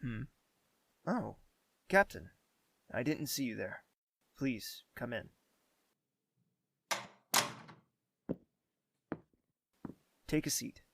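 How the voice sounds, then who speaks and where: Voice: medium pitch, sing-song, takes it slowly, creaky, background noise, exaggerated voice. An actor playing a young prince in an audio drama, refined, distracted and hesitant, musing aloud. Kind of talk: scripted audio drama acting.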